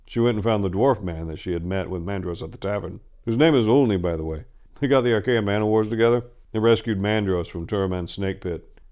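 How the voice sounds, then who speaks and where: A man's voice: on the low side, a little fast, darkish tone, natural voice. A man voicing a warlord's gossiping drinking companion in a fantasy story, chatty and amused as he tells tales.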